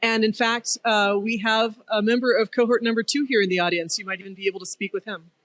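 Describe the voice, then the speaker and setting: A woman's voice: mid-range voice, steady tone, quick pace, fairly bright tone, no echo. A woman speaking conversationally as an accelerator coach being interviewed.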